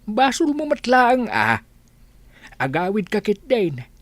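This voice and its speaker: A woman's voice: mid-range voice, wildly swooping pitch, slow pace, no room sound, exaggerated voice. A woman voicing a blunt older village uncle in an amateur radio drama, speaking in a matter-of-fact, admonishing way.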